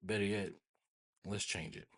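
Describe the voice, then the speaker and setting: An American man with a husky voice hosting a casual software tutorial, rambling informally and often checking in with listeners. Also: fairly low pitch, fairly even intonation, measured pace. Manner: informal, rambling, repeats check-in tags like "right?" and "okay?". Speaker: host of a tech tutorial channel.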